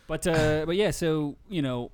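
A man's voice: a little high, unhurried, darkish tone, clear, resonant tone. A man from Nevada who plays bagpipes, co-hosting a podcast with loose, profane, joking banter. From Nevada, USA. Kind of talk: casual chat.

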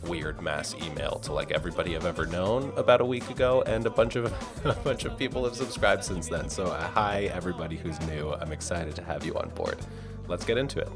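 Podcast host: American male, very low register, informal and enthusiastic delivery. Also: fairly quick, music in the background. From suburbs of New York, US. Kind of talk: casual podcast intro and interview chat.

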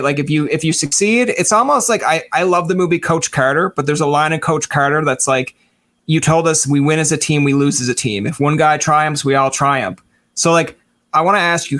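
Man, fairly high voice, talk show host, rambling, joking, informal. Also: fairly bright tone. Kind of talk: casual interview chat.

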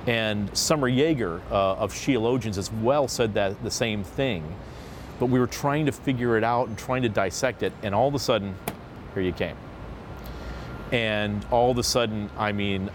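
Animated, jokey male panel host. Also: lowish voice, normal speed, slight background noise. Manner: animated and jokey, with a rising upbeat lilt. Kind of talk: casual roundtable discussion. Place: seated at a table in a city.

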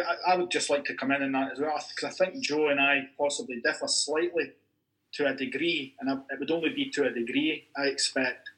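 Measured Scottish man, academic. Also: mid-range voice, a bit bright, low-quality recording. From Scotland. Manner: measured and careful, qualifying his points. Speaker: university academic discussing football history. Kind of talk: podcast discussion.

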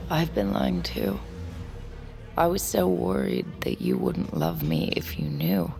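An actress playing a troubled, secretive woman in love, hesitant and hushed, in an intimate audio drama. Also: fairly low pitch, huge pitch swings, slow, vocal fry, full character voice. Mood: anxious, torn. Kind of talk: intimate audio drama acting and confessional narration.

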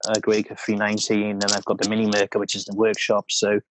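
Welsh guy on a trade podcast, low monotone voice, stops and ums a lot. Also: fast, background noise. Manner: hesitant, many ums and restarts. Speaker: podcast guest, painter and decorator. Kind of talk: casual chat.

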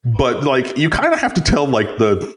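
A man with a low bass voice, a guest on a podcast, telling stories in a rambling, animated way full of fillers. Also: normal speed. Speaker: podcast guest, site editor. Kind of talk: casual chat.

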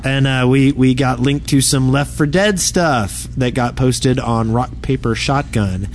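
A man's voice: mid-range voice, measured pace, a little hiss or hum. A man co-hosting a podcast in casual chat, recorded on a convention floor. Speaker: podcast co-host.

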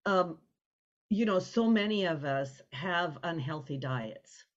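A woman's voice: lowish voice, expressive, takes it slowly. Dull lo-fi audio, effusive woman host. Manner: effusive, rambling. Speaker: interview host. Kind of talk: casual conversational interview.

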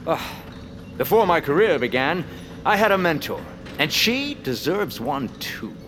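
An earnest, grandiose male radio host for a comic audio drama. His voice sits high and swoops with wordy, effusive conviction, with clear ambient noise behind it. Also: big pitch swings, measured pace.

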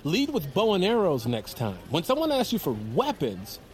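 Sarcastic skeptical buddy, casual, really swingy delivery, comedy audio drama with music under it. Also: male voice, high voice, wildly swooping pitch, moderate pace. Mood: exasperated. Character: sarcastic, skeptical male buddy on an amateur mission. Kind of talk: comedic audio fiction acting.

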